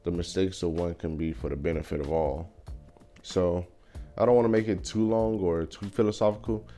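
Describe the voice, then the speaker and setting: A young man talking through his opinions in a casual vlog, thoughtful and measured, with frequent fillers. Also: deep voice, warm tone. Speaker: vlogger. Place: car.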